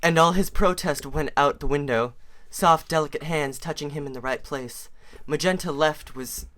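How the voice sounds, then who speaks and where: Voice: mid-range voice, moderate pace, fairly bright tone. A person giving a mock-serious dramatic reading of fan fiction, theatrical and stumbling over words now and then.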